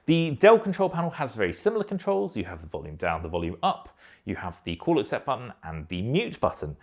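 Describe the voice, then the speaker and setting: A man speaks as a product reviewer, explaining things in a measured way. His pitch moves up and down in a lively, animated fashion, and the delivery is that of a prepared review. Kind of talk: scripted-sounding headset review.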